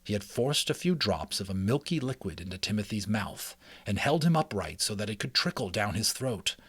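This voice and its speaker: Male storybook narrator, lowish pitch, expressive and deliberate, recorded dry and close. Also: slightly rough, dry recording. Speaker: audiobook narrator. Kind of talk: dramatic children's book reading with chatty asides.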